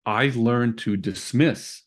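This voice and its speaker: A man co-hosting a radio show, explaining self-help and spiritual ideas in a measured way. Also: medium pitch.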